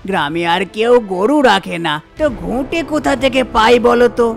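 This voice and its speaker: Woman doing a fussy, lowered-voice village landlord. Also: animated, normal speed, creaky, soft background music. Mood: worried. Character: harried male village landlord, polite, fussy. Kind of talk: Bengali cartoon voice acting.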